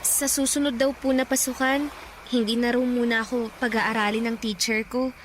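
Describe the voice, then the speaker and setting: A timid teenage girl in a radio drama who pleads with her grandmother, voiced by a woman. Her voice sits high. Faint noise hangs behind her. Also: flat delivery, normal speed, close to an everyday voice.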